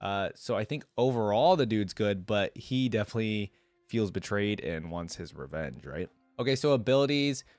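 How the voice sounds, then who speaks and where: A man doing casual, informal reaction commentary, switching between reading aloud and thinking out loud in asides. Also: fairly low pitch, expressive, moderate pace, dry recording, soft background music. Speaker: reaction video creator.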